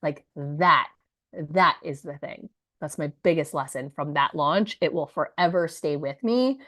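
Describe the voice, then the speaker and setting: A woman in her thirties, hoarse from a cold, telling an audience the story of her launch in a chatty, energetic way with many asides. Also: sits low, unhurried. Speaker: business coach presenting her launch story. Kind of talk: live presentation to an audience.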